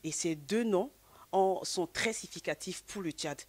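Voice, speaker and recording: A woman interviewing a singer, asking measured questions. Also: on the low side, dry recording.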